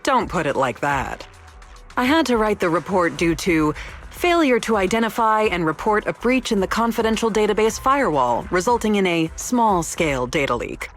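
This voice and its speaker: A woman voicing a young female researcher in a video game, speaking formally and precisely with dry, quiet evasiveness. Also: medium pitch, animated, normal speed, faint music behind, close to an everyday voice. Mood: composed, wry. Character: young female researcher, composed, wry, quietly rule-bending. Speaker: researcher character. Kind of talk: scripted video game dialogue.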